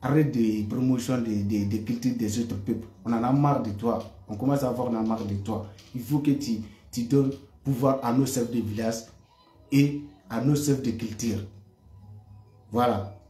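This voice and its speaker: A man's voice: mid-range voice, fairly even intonation, moderate pace, mellow, faint music behind. Man, from West Africa, online commentator, heated monologue, stammering repeats, echoey room. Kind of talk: impassioned monologue to viewers.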